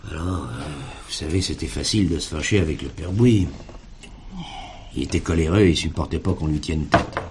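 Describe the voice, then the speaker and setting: An actor playing a plainspoken farmer in a drama, talking in a colloquial, rambling way. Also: male voice, extremely low pitch, fairly quick, darkish tone, slight background noise. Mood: matter-of-fact, reflective. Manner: colloquial, rambling, punctuated with verbal tags. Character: weary farmer talking about his estranged brother.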